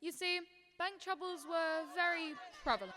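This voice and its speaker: A teenage girl acting a patient senior angel who narrates an amateur radio drama in a measured, explanatory way. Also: very high-pitched, expressive. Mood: storytelling. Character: patient senior angel boss, instructing and storytelling. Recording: long reverb, faint crowd behind.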